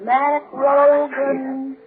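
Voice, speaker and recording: A performer voicing the ghost of a dead wife who calls from far away in a 1940s radio drama, slow and drawn out. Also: male voice, very high-pitched, completely flat delivery, phone-quality sound, full character voice. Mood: eerie. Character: ghostly wife calling from beyond death. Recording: distant, noisy old radio broadcast.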